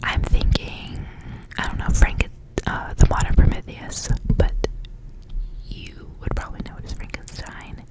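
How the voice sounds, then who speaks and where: A woman whispering softly in a breathy, rambling ASMR style, as a host reading and chatting casually. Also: unhurried, low-quality recording. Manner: soft, rambling, repeats words.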